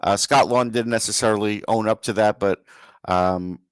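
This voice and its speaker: A man's voice: lowish voice, fairly even intonation, normal speed, clear, resonant tone, no room sound. A man co-hosting a sports podcast in casual discussion, blunt and opinionated in the way he talks.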